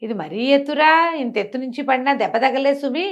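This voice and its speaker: A woman's voice: sits high, expressive, fairly quick, muffled recording. A woman storyteller voicing a bewildered older father who exclaims and questions in astonishment, in colloquial rustic phrasing. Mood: surprised.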